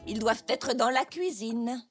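A woman voicing a gentle elderly grandmother zebra in a children's cartoon. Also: on the high side, unhurried, husky, soft background music. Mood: searching. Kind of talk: French children's cartoon dialogue.

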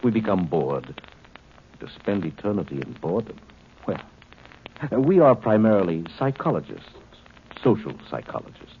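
A cold alien overseer with a very low, deep voice, lecturing his captives in slow, superior tones. The sound is thin and tinny like an old broadcast, with a faint hiss beneath. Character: cold calm male alien overseer, condescending. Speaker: alien captor. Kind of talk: 1950s radio drama acting.

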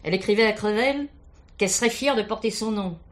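A woman's voice: mid-range voice, fast talker, lightly acted. An actress playing a plain-spoken, gossipy, indignant working-class woman giving testimony in colloquial, insinuating French radio drama style. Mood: confiding. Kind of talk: French radio play acting.

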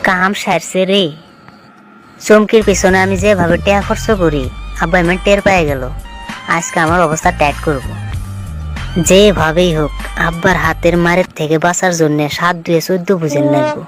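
A woman's voice: lowish voice, steady tone, normal speed, pauses often, soft background music, lightly acted. A woman voicing a naive, lovesick young male shopkeeper in a cartoon, earnest and pleading, with an eastern Bengali dialect. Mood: worried. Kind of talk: cartoon voice acting.

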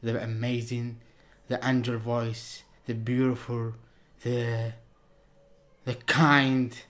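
A man's voice: medium pitch, level tone, slow pace, fairly bright tone, soft background music. Algerian-accented man hosting reaction videos. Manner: repeats words and phrases emphatically. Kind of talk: casual, excitable reaction vlog. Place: small indoor room at a desk.

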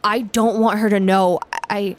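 A woman playing a weary, guarded former dark army officer in a fantasy audio drama, speaking haltingly with sighs. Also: mid-range voice, steady tone, lightly acted. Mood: troubled, defensive. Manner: halting, sighing.

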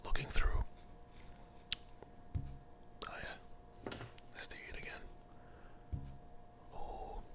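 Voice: extremely slow, pauses often. A person whispering an ASMR candy review close to the microphone, hesitant and often repeating phrases.

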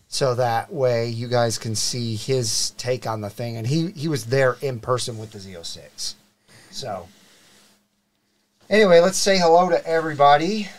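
A middle-aged man hosting a live car podcast, greeting viewers and reading sponsor plugs in an upbeat way. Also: medium pitch. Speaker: show host. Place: studio set with desk mics. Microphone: desk mic on boom arm.

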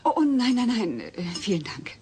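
A woman playing a middle-aged, sharp-tongued wife and mother with dry delivery in a dubbed sitcom audio play. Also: fairly high pitch, big pitch swings, normal speed, slightly rough, exaggerated voice. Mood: puzzled, polite.

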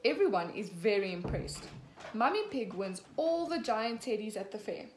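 A young woman in her twenties, a teacher, reading a picture book aloud to children slowly and clearly, acting out the characters. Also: medium pitch, sing-song. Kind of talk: children's storybook read-aloud. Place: small room at home, indoors. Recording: echoey room, faint background hiss.

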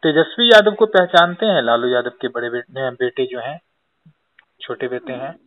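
A man's voice: medium pitch, normal speed. Guy reporter asking questions on a phone call, dull lo-fi sound, no echo. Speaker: journalist, interviewer. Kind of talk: phone interview. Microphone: recorded phone call.

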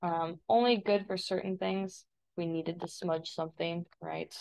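An American woman instructor talking informally through a software demonstration, step by step, as she does each action. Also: on the low side, steady tone, measured pace, gravelly, rough recording. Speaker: instructor giving a software tutorial. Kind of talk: casual step-by-step screen demonstration.

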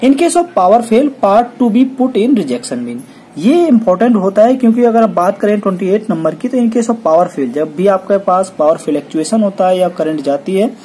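Female instructor, mellow tone, faint hiss; walks through a checklist point by point, confirming each with "okay". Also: mid-range voice, lively intonation, moderate pace, low-quality recording. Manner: repeats "ठीक है" after each point, reads English terms then explains in Hindi. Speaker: welding instructor. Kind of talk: technical lesson explaining a checklist.

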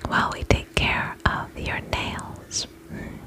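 Woman, breathy whisper, very slow pace, gentle and soothing, ASMR spa attendant role-play. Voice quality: unvoiced whisper. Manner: gentle, soothing, reassuring, with short pauses between phrases.

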